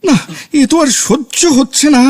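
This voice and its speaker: A man playing a timid, exasperated gentleman in a comic audio drama, stammering and repeating his words in a panicky manner. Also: very high voice, steady tone, normal speed.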